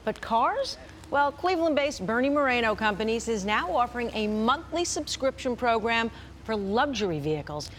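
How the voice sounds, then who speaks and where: A woman's voice: a little high, lively intonation, normal speed, a little hiss or hum. A woman anchoring TV news in a conversational, chatty way, speaking directly to viewers. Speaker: TV news anchor and consumer reporter. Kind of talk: broadcast news presenting, conversational.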